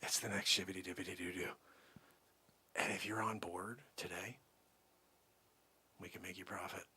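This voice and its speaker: A man acts out a sleazy, boastful crypto cold-caller in an improvised comedy. His husky voice sits high and swings wildly, bright and forceful. He wheedles, repeats himself and brags without shame. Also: lively intonation, moderate pace, full character voice. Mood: whispering, conspiratorial. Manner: brash, wheedling, repetitive, swinging between whispers and yells. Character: pushy, bragging, sleazy cold-call crypto salesman. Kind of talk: improvised comic sales patter.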